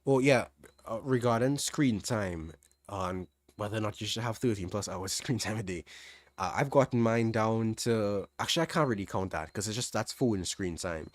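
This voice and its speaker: A young man with a mild Trinidadian accent answering chat questions on a livestream, relaxed, thinking aloud with restarts. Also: lowish voice, moderate pace, no room sound. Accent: Trinidadian, fairly standard. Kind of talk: casual livestream Q&A.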